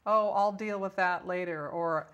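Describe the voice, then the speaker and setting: A woman's voice: on the low side, unhurried, fairly bright tone, smooth. A woman speaking as an interview guest and spiritual teacher, explaining conversationally in an instructive, emphatic manner.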